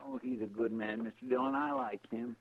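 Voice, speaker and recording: An American actor playing a good-natured, deferential frontier helper in a 1950s radio western, talking in a folksy, colloquial way. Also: male voice, medium pitch, steady tone, a little fast, husky, lightly acted. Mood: curious, sympathetic. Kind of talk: 1950s radio drama acting. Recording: tinny, noisy vintage 1950s radio recording.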